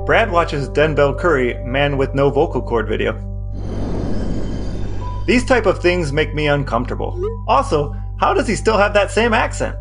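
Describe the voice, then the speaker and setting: A man's voice: on the high side, expressive, normal speed. An American man co-hosting a podcast, reading messages aloud and talking. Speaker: podcast co-host. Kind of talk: dramatic reading of text messages and podcast talk. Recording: guitar music behind the voice. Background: guitar music.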